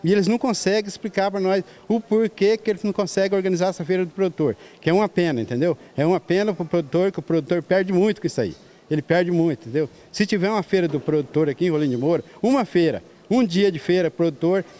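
Brazilian male market vendor, forties, rapid and slightly high voice, colloquial interview style full of tag questions. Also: dark tone. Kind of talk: street interview, informal complaint. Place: large open-sided market hall. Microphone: handheld reporter microphone.

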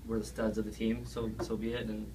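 A man's voice: lowish voice, monotone, a little fast, warm tone. A man in his early twenties, a college athlete casually answering post-game questions and repeating words as he goes. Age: early 20s. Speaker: college hockey player. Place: small press room, at a table. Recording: slightly noisy, distant microphone.

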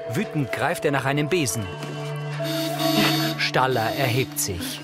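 A man reading scripted audio description for television in a measured, matter-of-fact manner. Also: mid-range voice, animated. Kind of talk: scripted narration. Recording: studio voice over music.

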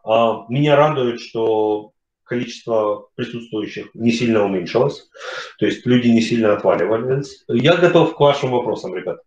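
A man in his forties from Kharkiv, giving a casual webinar talk and answering questions, hesitant with many fillers and pauses, on a video call from a room at home. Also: medium pitch, darkish tone. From Kharkiv, Ukraine. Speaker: webinar speaker, company CEO. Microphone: webcam on video call. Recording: roomy, dull video call audio.

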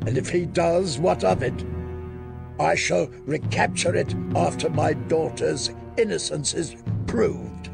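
Man acting proud stubborn lord, pompous grunting delivery, slow and gravelly, fantasy audiobook. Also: on the high side, music in the background, pitched above the performer's own voice, full character voice. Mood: blustering, defiant. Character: blustering, stubborn middle-aged lord, proud father. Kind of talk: audiobook character voice.

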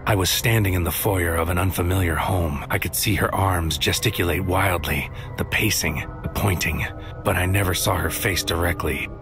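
Bleak, fatalistic man delivering solemn poetic monologue in audio drama; deep voice, music underneath. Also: vocal fry. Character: brooding, fatalistic man narrating his bleak inner world.

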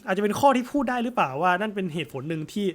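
A young man with a fairly high voice talks through his thoughts in a relaxed chat. He pauses often, hunts for the right words, and fills the gaps with small fillers. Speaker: co-host. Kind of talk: casual chat about a film.

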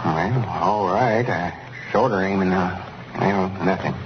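Earnest, dutiful Southern storekeeper, folksy drawl, muffled noisy 1930s radio sound. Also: male voice, deep voice, slow pace. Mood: hesitant, concerned. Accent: rural Southern US. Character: earnest rural male storekeeper, dutiful and considerate. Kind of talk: 1930s radio comedy acting.

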